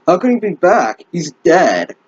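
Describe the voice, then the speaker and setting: A man's voice: sits high, wildly swooping pitch, a little slow, breathy edge, no room sound, voice pushed up, exaggerated voice. A man reading the lines of a distressed young woman in a slightly raised voice. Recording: dull lo-fi dry mic.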